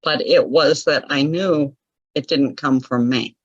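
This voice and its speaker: Husky-voiced woman telling her story. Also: sits low, measured pace, dry recording, highs cut off. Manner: chatty, laughs often, lively retelling of her own past. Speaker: interview guest, author. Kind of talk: casual storytelling in an interview.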